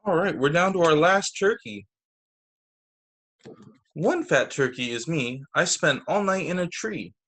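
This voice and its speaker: Man reading storybooks aloud to children. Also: on the high side. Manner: expressive, deliberate phrasing for young children. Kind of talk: read-aloud storytime narration. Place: video call story session. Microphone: video call microphone.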